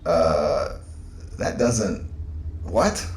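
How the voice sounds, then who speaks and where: An actor playing a stern but uneasy male spaceship captain in an audio drama, earnest and halting in his delivery. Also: mid-range voice, sing-song, gravelly, darkish tone, exaggerated voice. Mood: wary, puzzled. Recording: roomy echo, faint background noise.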